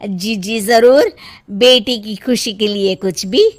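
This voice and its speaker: A woman's voice: mid-range voice, slow pace, a little hiss or hum, lightly acted. A woman voicing a fussing, easily impressed middle-aged mother in a cartoon, emphatic and eager.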